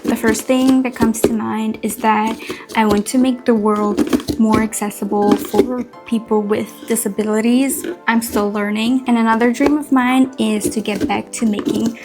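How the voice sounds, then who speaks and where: A young woman from Switzerland, a native Swiss German speaker, chatting earnestly with soft laughs while carving a pumpkin in a Q&A vlog. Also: fairly high pitch, fairly even intonation, normal speed, over loud music. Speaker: content creator.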